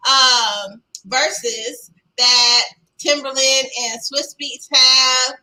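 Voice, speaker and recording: A woman hosts a live online chat show in a very bright, high, piercing voice. She talks emphatically, with churchy turns of phrase. The audio comes over a video call. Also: fairly even intonation, slow pace, poor audio. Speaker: talk show host.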